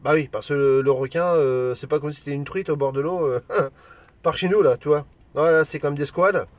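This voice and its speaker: French guy doing cb radio chat, voice a bit high, very dry sound, halting and colloquial. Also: no echo. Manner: hesitant, colloquial, halting phrases. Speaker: amateur CB radio operator.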